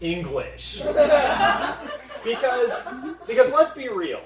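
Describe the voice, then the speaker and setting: Lively mock-earnest panel presenter in an echoey room. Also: medium pitch, slow pace, heavy background noise. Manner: mock-earnest, satirically pompous. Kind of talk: mock-serious comedic panel presentation to a live audience. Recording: roomy echo, picked up from a distance.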